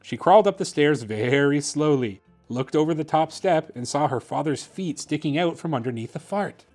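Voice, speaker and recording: A man hosting and narrating a children's bedtime story in an expressive, theatrical way. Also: a little high, normal speed, soft background music. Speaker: host reading children's books aloud.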